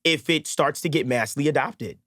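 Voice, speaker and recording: A man with a New York accent speaks as a guest in a casual podcast chat. His voice sits a little high and he tells things in an animated, slangy way. The studio sound is dry and close. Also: fairly quick, no room sound. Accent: New York American. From Brooklyn, New York, USA. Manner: animated storyteller, slangy, acts out quoted remarks. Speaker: podcast guest, mixing engineer and content creator.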